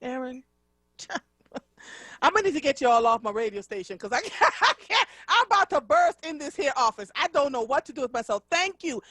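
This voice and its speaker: A woman in her fifties from Jamaica hosting a live radio talk show, speaking in an expressive, emphatic way. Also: sits high, a little fast, dry recording, clean studio sound. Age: 50s. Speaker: radio host.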